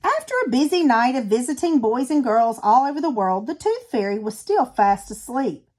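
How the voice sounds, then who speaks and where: High-voiced woman reading a children's story. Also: normal speed. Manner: expressive. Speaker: storybook reader. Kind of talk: children's read-aloud narration.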